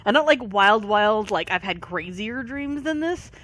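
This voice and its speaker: A tired gaming video creator, short on sleep and fighting allergies, giving a casual rambling commentary full of pauses. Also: mid-range voice, expressive, sharp tone, no room sound. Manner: rambling, many pauses and fillers. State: voice affected by allergies.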